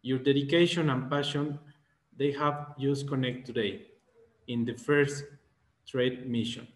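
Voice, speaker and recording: A man in his thirties with an Ecuadorian Spanish accent, a native Spanish speaker giving a formal, measured welcome speech as an association president on a video call. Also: medium pitch, fairly even intonation. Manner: formal, measured, slightly halting English. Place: small plain room, indoors. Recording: echoey room on video call.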